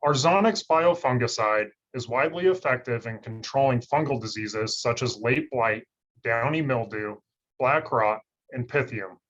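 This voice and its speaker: Man, monotone read-through, company talk over a slightly dull call line. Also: medium pitch, moderate pace. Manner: monotone, steady reading. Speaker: industry research scientist presenting. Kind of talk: scripted webinar presentation. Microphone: video call.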